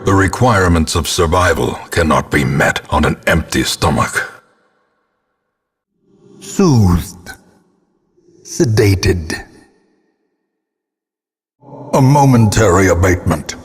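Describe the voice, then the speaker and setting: An actor voicing a grim, ominous old ghostly ancestor as a gothic horror game narrator, solemn and theatrical, with a gravelly, husky voice. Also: male voice, sits low, lively intonation, full character voice. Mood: solemn relief. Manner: solemn, portentous, deliberate delivery.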